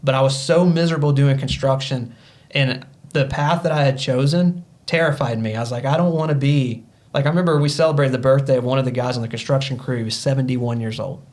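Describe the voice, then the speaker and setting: A man's voice: medium pitch, fairly even intonation, fast, darkish tone. Guy in his 30s on a podcast, earnest motivational talk, lots of you-knows. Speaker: podcast guest. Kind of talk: casual podcast conversation.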